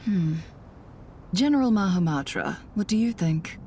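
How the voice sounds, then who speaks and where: Woman playing a slow-spoken, polite companion. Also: mid-range voice, expressive, mellow, slight background noise, close to an everyday voice. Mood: polite, puzzled. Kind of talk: video game voice acting.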